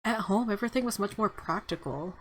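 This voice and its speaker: A woman's voice: medium pitch, fairly quick, a little hiss or hum. A woman reading a visual novel aloud, switching between story narration and casual, playful commentary. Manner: expressive, playful asides. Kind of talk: dramatic narration mixed with casual playthrough commentary. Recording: game music behind the voice.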